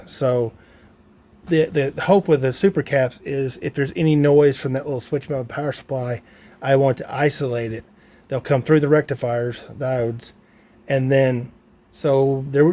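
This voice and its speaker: A man's voice: medium pitch, darkish tone. A man giving a casual hands-on how-to, thinking aloud informally as he works. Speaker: DIY radio hobbyist presenter. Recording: clear background noise, ambient sound.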